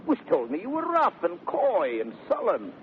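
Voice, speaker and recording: An actor playing a brash, teasing gentleman suitor in 1930s radio Shakespeare, declaiming with mock sweetness. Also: high voice, wildly swooping pitch, moderate pace. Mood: mock-tender. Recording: tinny, noisy 1930s radio recording.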